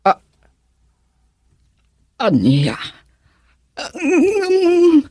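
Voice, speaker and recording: Doting worried mother, Ilocano radio drama: high-pitched, wildly animated intonation, slow drawn-out fretting. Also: dry recording, clean studio sound, exaggerated voice. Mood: shocked. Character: anxious, doting mother of a grown son.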